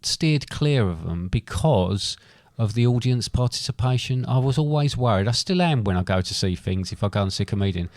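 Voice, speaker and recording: A man's voice: mid-range voice, animated, fairly quick, few pauses, a little mellow, no echo. A middle-aged British man co-hosting a podcast in casual, bantering chat. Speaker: podcast co-host.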